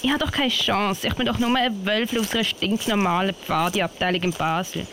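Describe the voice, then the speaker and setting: A woman's voice: medium pitch, brisk, a little hiss or hum, close to an everyday voice. A woman playing a plucky young scout girl in a children's radio play, talking her thoughts aloud. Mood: doubtful. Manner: lively, thinking aloud.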